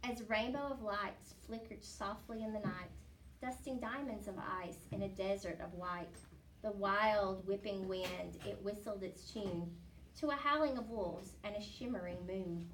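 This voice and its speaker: A woman reading a rhyming children's picture book aloud in an expressive storytelling style. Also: mid-range voice, a little slow. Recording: slightly distant mic, faint background noise.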